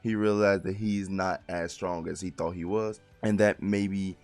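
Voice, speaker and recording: Male reviewer, just out of bed: very low, gravelly, loose and rambling delivery. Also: steady tone, measured pace, soft background music. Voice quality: gravelly morning voice. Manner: rambling, self-correcting, informal. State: just woke up, voice deeper than usual. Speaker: light novel reviewer. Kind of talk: casual spoken book review.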